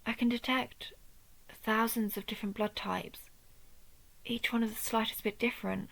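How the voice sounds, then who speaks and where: A wounded, proud vampire huntress acted by a woman with a creaky, measured voice, the sort heard in a late-night audio drama guarding a friend outside a ruined temple. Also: a little high, fairly even intonation, a bit bright, low-quality recording. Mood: somber. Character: injured female vampire hunter of vampires, protective, proud, wry. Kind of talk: scripted audio roleplay addressed to the listener.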